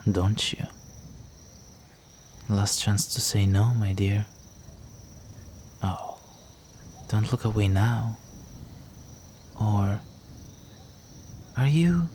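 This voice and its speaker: Low, slow, seductive vampire gentleman, acted by a man. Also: slight background noise. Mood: seductive, teasing. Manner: seductive, courtly, teasing, with breathy murmurs. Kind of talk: intimate roleplay audio drama.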